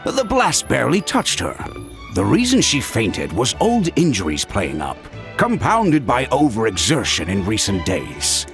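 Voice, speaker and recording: A man's voice: medium pitch, wildly swooping pitch, unhurried, fairly bright tone, exaggerated voice. An actor playing a weary, blunt adult male caretaker in a video game cutscene, matter-of-fact and exasperated. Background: game music.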